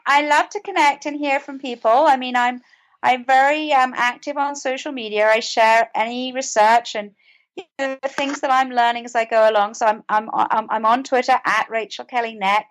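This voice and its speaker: Higher-pitched British woman in interview. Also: steady tone, normal speed, low-quality recording. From United Kingdom. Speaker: podcast guest, author and mental health advocate. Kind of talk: conversational interview.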